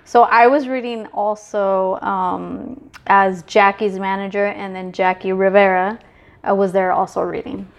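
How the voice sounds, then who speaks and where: A middle-aged woman from Mexico, chatting as a guest in a casual interview, relaxed, with many fillers and restarts. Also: medium pitch, a little slow. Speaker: guest, business manager.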